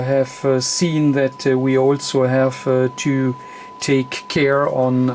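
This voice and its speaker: A man who speaks as a walks through a technical demonstration off the cuff. His delivery is slow and hesitant, broken into short phrases, at a medium pitch. Light background noise sits behind the voice. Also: darkish tone. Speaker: radio repair technician presenting a tutorial. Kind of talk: unscripted bench demonstration commentary.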